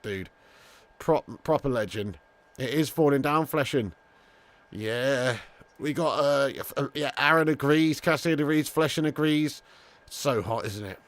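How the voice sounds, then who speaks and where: A man's voice: mid-range voice, no room sound. A young English man hosting a live football watch-along, chatting casually, swearing, and greeting viewers by name from a room at home. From England. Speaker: host.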